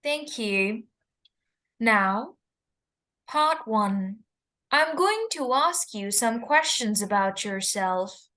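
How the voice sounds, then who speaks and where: Slow, high-voiced woman examiner. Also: lively intonation, pushed and bright. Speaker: exam interlocutor. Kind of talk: mock speaking test.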